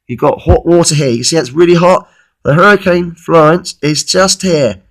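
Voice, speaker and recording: A man of thirty-one, losing his voice with a cold, gives an informal, halting weather forecast commentary and often repeats himself. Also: a little high, a bit bright. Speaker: amateur weather vlogger.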